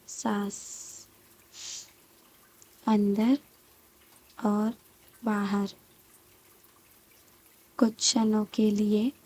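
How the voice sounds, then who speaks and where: A woman guiding a scripted meditation in a soft, breathy voice, calm and soothing, with long pauses between short phrases. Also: mid-range voice, rough recording. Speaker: meditation guide. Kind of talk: slow guided meditation, scripted.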